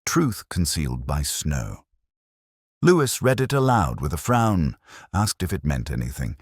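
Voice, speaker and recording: A world-weary ageing inspector, played by a man, recounts his case in an extremely low voice. His delivery is literary and melancholy, with expressive rises and falls. The sound is dry and close, in the style of a detective audio drama. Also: husky, dry recording, heavily acted. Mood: brooding. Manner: literary, measured, brooding. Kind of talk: scripted first-person detective audio drama narration.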